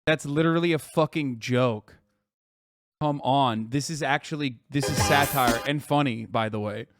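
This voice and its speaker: A man live streaming, reacting to tweets and lecturing his chat in a profane, animated way full of rhetorical "okay?" asides. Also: medium pitch, moderate pace, music in the background.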